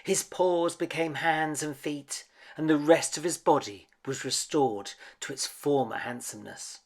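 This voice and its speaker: A man's voice: a little high, a little slow. A man reading a children's picture book aloud as a dramatic storyteller. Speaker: storybook reader.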